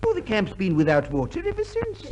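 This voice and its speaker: High-voiced doddering army officer. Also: male voice, huge pitch swings, brisk. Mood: blustering. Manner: muttering hesitations, repeats himself. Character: doddering, blustering male army commanding officer, vague and pompous. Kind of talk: 1950s radio comedy acting. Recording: noisy vintage 1950s radio recording.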